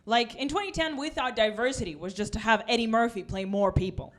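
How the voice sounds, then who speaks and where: A woman in her twenties from Russia, doing a stand-up comedy set with a deadpan, ironic delivery and quick asides. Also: fairly high pitch, a crowd in the background. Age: 20s. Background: audience laughter.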